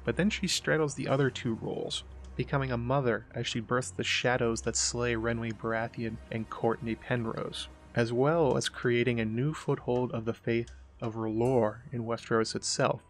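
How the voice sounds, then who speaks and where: A man narrating a scripted analytical essay as the host, in a measured explanatory way. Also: mid-range voice, fairly even intonation. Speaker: host of a book and mythology analysis show.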